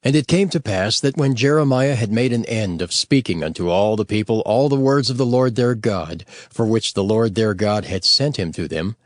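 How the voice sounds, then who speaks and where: A man narrating scripture from a script in a measured, formal and expressive manner. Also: lowish voice, dry recording, studio quality.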